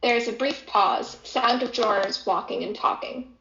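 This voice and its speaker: A woman's voice: fairly high pitch, normal speed, a bit bright. A woman reading stage directions as a scripted narration. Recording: echoey room, dull lo-fi audio.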